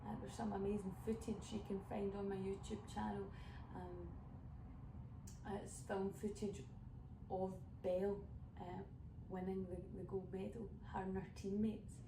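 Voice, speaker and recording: A young Scottish woman talks through her set in a relaxed, rambling way, filling her sentences with ums and asides. Her voice has a gravelly edge. She sits back from the mic in an echoey room, with steady noise underneath. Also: medium pitch, measured pace. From west coast of Scotland. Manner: chatty, rambling storyteller with many fillers. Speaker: folk singer introducing her songs. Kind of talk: casual spoken introduction to an online showcase. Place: home room streaming online. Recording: roomy, distant mic with background noise.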